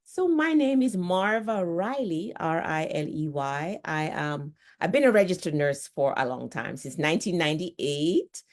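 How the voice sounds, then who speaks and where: A woman's voice: lowish voice, lively intonation, measured pace, few pauses. A middle-aged woman from Jamaica with a Jamaican accent, a nurse and author chatting as a guest, repeating words as she talks. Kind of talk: casual conversational talk.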